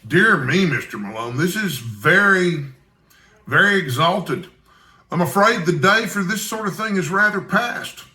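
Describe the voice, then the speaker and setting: Male reader as storybook newspaper editor, older Scot, kindly and wry, bright raised voice, roomy echo. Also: high voice, lively intonation, unhurried, pitched above the performer's own voice, exaggerated voice. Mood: wry, musing.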